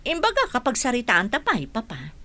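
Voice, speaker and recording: A woman's voice: fairly high pitch, huge pitch swings, brisk, pushed and bright, exaggerated voice. A woman playing a well-off aunt and deferential grown daughter in a radio drama, coaxing, with an Ilocano accent. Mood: cautious, explaining. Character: well-off aunt, grown daughter gently pleading with her father.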